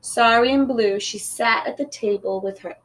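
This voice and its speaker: A woman reading a children's picture book aloud in an expressive storytelling manner. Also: fairly high pitch, normal speed, low-quality recording. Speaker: storybook reader.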